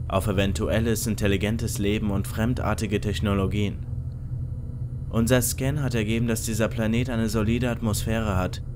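An authoritative male starship commander acted by a man in a sci-fi audio drama. His voice is fairly low, official and measured, with a clear engine-like noise behind it. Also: close to an everyday voice.

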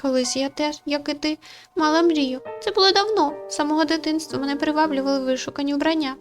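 A gentle forest nymph, put on by a woman reading a game speaks in a very high voice. She is sorrowful and tender, phrasing things in a lofty fairy-tale manner over faint music. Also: fast, close to an everyday voice. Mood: wistful, sorrowful.

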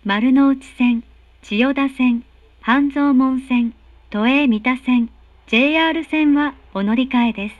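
High-pitched woman making polite train announcements. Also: measured pace, dry recording, highs cut off. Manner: formal, polite, carefully enunciated. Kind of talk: recorded train station announcements. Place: recorded announcement played inside subway car.

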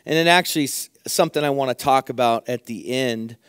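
A middle-aged man preaching a sermon from a church pulpit, his voice hoarse, earnestly repeating phrases for emphasis. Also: mid-range voice, a little fast, dry recording, studio quality. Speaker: pastor. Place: church sanctuary at a pulpit, indoors.

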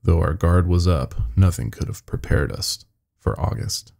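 A man's voice: low-pitched, normal speed, gravelly, darkish tone. A man narrating a scripted first-person horror story as a podcast storyteller.

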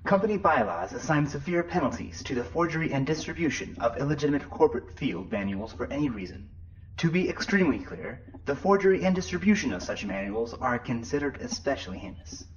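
A man's voice: medium pitch, lively intonation, a little fast, dull audio. A man voicing a formal, pedantic shipboard computer in a science-fiction audio drama, precise, wordy and officious, through a computer voice filter. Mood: officious, matter-of-fact.

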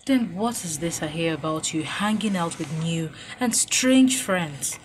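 A woman's voice: fairly low pitch, lively intonation, unhurried, background noise. Worried, caring Nigerian mother. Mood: pleading. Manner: anxious, probing, maternal. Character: worried, caring mother questioning her son. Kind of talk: radio drama acting.

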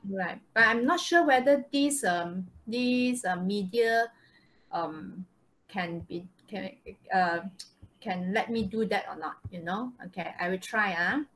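Slow-paced Malaysian woman teacher. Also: medium pitch, a bit bright, poor audio. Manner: hesitant, checking in with students. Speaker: English teacher. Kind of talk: live online lesson, conversational. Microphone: video call.

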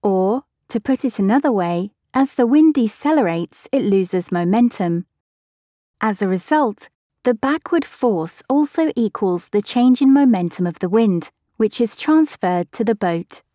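A woman giving a measured, scripted narration for an educational physics video. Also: medium pitch, expressive, dark tone, no echo, clean studio sound.

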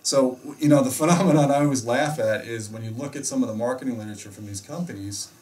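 A man's voice: medium pitch, quick pace, a little mellow. Emphatic American man, miked from a distance. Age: middle-aged. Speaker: host and audio reviewer. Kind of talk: casual explanatory discussion. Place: small indoor home theater room. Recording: distant mic in a room.

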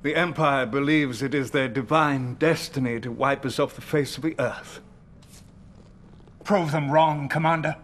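A man's voice: medium pitch, a little slow, fairly bright tone, slight background noise, lightly acted. A British man in his sixties playing a grave, theatrical field marshal in a game cutscene, with dramatic military formality. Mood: measured, grave. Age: 60s.

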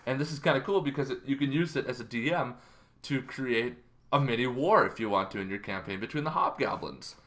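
A man in his thirties explaining things casually as a show host, in an informal, halting way. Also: medium pitch, expressive, a bit bright. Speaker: host of a tabletop roleplaying lore show. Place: bedroom, indoors.

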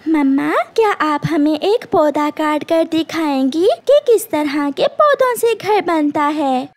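A woman as a very high-pitched, earnest little girl bird. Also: animated, takes it slowly, exaggerated voice. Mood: curious.

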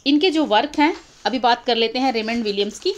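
A woman's voice: fairly high pitch, fast talker, muffled recording, slight background noise. A young woman, explaining exam questions step by step, repeating key terms. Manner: explanatory, repeats key terms, mixes English titles into Hindi. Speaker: exam-prep teacher. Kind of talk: explaining exam questions over annotated screen.

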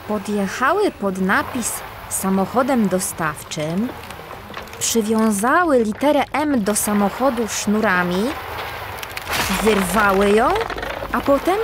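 A woman playing a businesslike, self-assured cat police detective in a children's audio drama, brisk and matter-of-fact. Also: fairly high pitch, big pitch swings, background noise.

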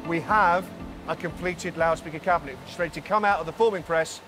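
A man in his forties with a slightly raised pitch walks viewers through a big factory, explaining steps clearly and evenly. His voice is recorded close on a lapel mic, with clear music running underneath. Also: fast talker. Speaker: factory guide. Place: large factory floor, indoors.

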